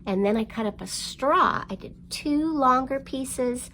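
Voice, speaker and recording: A woman presenting a storytime for young children, reading and explaining in an expressive, simple, encouraging way. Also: high-pitched, a little slow, slight background noise. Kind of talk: read-aloud storytelling and instruction for young children.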